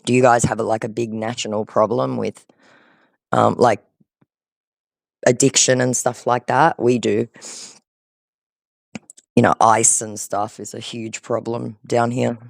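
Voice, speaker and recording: A man's voice: medium pitch, little pitch movement, measured pace, vocal fry, pauses often, dry recording. A man chatting casually on a call, explaining things in a conversational, talkative way. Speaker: scambaiter on a call with a scammer. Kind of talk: casual phone chat.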